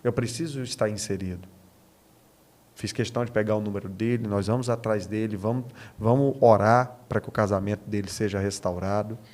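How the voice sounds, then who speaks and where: A man's voice: lowish voice, fairly even intonation, a little fast, a little mellow. A Brazilian man speaking as a pastor and podcast guest, earnest and emphatic, mixing conversational preaching with anecdotes and rhetorical questions. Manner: earnest, emphatic, punctuates points with rhetorical questions and tag checks.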